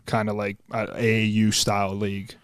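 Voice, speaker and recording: A man's voice: lowish voice, completely flat delivery, normal speed, clear, resonant tone, no echo, studio quality. A man co-hosting a podcast in casual chat, with a jokey, enthusiastic manner. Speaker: podcast host.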